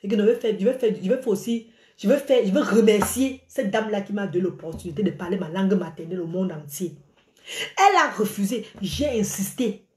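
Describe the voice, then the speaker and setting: A woman's voice: mid-range voice, fairly quick, reverberant, background noise. A middle-aged woman, ranting animatedly to her followers on a live phone stream from a small room. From Cameroon. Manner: animated and exclaiming, gesturing a lot. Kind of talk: live video rant to followers. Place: small indoor room by a curtain. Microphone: phone at arm's length.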